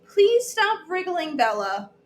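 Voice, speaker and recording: A woman voicing a patient but weary mother of small children from a storybook, gently chiding and soothing. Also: very high voice, very animated, takes it slowly, pitched above the performer's own voice, exaggerated voice. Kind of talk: storybook read-aloud. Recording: echoey room with background noise.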